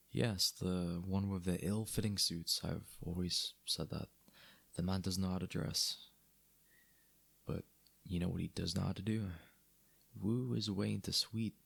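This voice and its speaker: Mafia boss for ASMR roleplay, male actor: wry and gentlemanly, low-pitched and very husky, dry conversational delivery. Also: no room sound, heavily acted. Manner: dry, conversational, sardonic, addressing listener as doll. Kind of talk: ASMR audio roleplay, intimate scripted acting.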